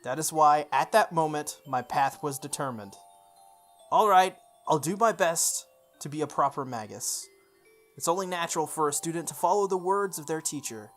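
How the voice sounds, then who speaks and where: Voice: mid-range voice, normal speed, faint music behind. A game playthrough host expressively reading story text aloud, stumbling and correcting along the way, with off-the-cuff asides.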